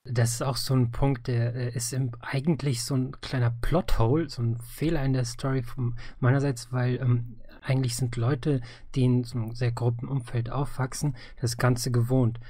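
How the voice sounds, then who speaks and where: Guy doing a casual interview, medium pitch, super dry sound, asking questions. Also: flat delivery, normal speed, few pauses, warm tone, no room sound. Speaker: interviewer, audio drama creator.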